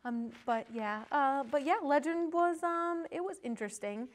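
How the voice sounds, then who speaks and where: High-pitched woman in casual chat. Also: normal speed. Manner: informal, rambling, peppered with "like". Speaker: guest on a film talk show. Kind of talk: casual chat about films.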